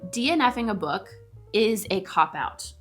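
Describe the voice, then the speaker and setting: A woman's voice: mid-range voice, animated, a little slow, fairly bright tone, soft background music. A woman co-hosting a casual, bantering chat, emphatic and opinionated with a teasing edge. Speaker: co-host of a book discussion video.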